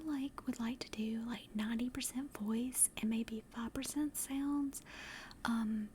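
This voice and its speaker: A woman chatting casually to livestream viewers in a soft, breathy ASMR whisper, gentle and rambling, close to the microphone. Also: slight background noise. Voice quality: soft, breathy whisper and hushed low-volume speech. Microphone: close directional microphone.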